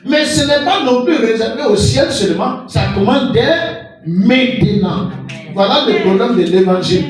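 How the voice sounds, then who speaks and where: A man preaching a sermon as pastor, animated and full of everyday examples. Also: very high voice, normal speed. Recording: echoey hall from far off with music.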